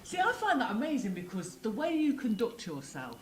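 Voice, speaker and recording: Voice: medium pitch, huge pitch swings, brisk. Chatty interviewer over faint hiss. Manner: chatty, laughs along. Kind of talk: casual interview questions.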